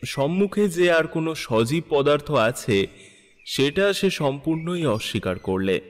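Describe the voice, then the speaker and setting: A man narrating a literary story in an expressive, measured, dramatic style. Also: on the high side, big-room sound.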